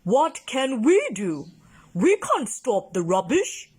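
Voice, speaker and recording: An earnest, lonely storybook whale, acted by a woman, speaks in drawn-out, exclaiming phrases. The voice sits high and swoops widely, with an excitable, innocent temper. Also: slightly rough, full character voice. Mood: worried.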